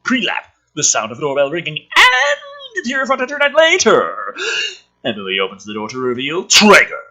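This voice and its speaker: A man's voice: fairly high pitch, wildly swooping pitch, normal speed, sharp tone. A man sarcastically reading through a film script and commenting on it in a profane, mocking, theatrical way. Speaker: host of a script review show.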